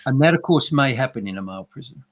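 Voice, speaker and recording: A man giving opinionated news commentary in a dry, sardonic, measured way. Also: medium pitch, animated. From Australia. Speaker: regular commentator.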